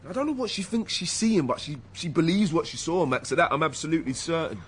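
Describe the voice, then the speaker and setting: A man's voice: fairly high pitch, animated, fast talker, husky. An actor playing a blunt, defensive British police detective, a man who talks colloquially in a naturalistic radio drama. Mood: troubled, uneasy. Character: hot-headed, defensive male police detective, younger colleague.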